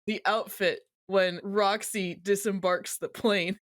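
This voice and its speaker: Podcast host recapping a story, mid pitch voice, dry close recording, slangy and wry. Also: steady tone, slow, a bit bright, no echo. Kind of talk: casual recap discussion of a story.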